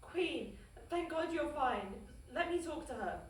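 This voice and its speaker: A woman playing an anxious, flattering official who negotiates in an animated comedy table read, pleading and coaxing. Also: high voice, measured pace. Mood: relieved. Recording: echoey room, distant mic, faint hiss.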